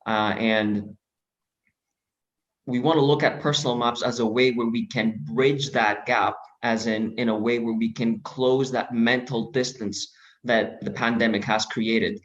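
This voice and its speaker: A man from Greece walks through a presentation over a video call, in a measured way that is full of fillers and restarts. He has a mid-range voice. The audio is dull and lo-fi. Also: medium pitch, fairly even intonation. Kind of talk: online presentation.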